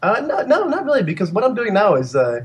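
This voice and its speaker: A man, a guest editor, joking in a deadpan, self-mocking way during a casual chat. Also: sits high, quick pace, husky.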